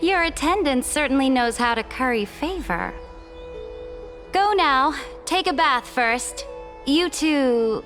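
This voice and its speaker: High, slow, imperious game empress. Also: female voice, animated, a bit bright, soft background music, full character voice. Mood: coolly amused. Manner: lofty, formal, commanding, condescending. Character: haughty, imperious empress, regal lawgiver and conqueror. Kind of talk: theatrical video game acting.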